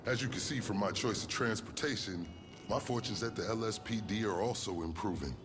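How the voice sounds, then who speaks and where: A man's voice: fairly low pitch, fairly quick, close to an everyday voice. A man voicing a smug, scheming police officer in a video game, speaking in a formal, verbose and sly way. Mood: sly, pleased. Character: smug, wordy, scheming male corrupt-fighting police officer. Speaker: police officer giving criminal jobs. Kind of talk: scripted video game cutscene acting. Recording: loud music behind the voice. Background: loud music.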